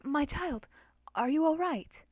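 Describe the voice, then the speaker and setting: Woman playing a motherly monster caretaker, warm, soft-spoken, protective, soothing, storybook fantasy character, dry close sound. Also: high voice, lively intonation, slow, no echo, lo-fi sound, voice pushed up. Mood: concerned. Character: kind motherly horned creature woman, gentle protective caretaker.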